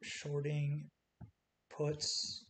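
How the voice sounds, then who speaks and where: A man with a fairly high voice talks a trading chart through in short, offhand calls and scattered fillers. His voice is wearing thin as he goes. A faint hiss sits under the recording. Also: completely flat delivery, extremely slow, fairly bright tone, rough recording. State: losing his voice. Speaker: stock trader walking through charts. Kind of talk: casual screen-share chart commentary.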